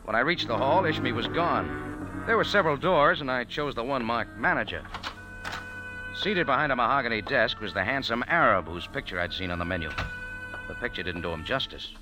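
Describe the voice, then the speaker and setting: An actor playing a wisecracking American cafe owner who narrates with a dry, hardboiled manner in a 1940s radio drama. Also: male voice, medium pitch, animated, fairly quick, close to an everyday voice. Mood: narrating. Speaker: narrator and lead. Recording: old radio broadcast with music.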